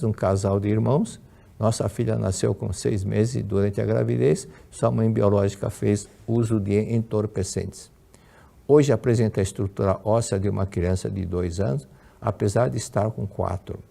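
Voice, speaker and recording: Older Brazilian male lecturer, low gravelly voice, unhurried storytelling teacher. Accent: Brazilian Portuguese. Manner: warm storytelling, repeats and restarts words. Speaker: religious lecturer. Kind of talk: religious teaching with Q&A.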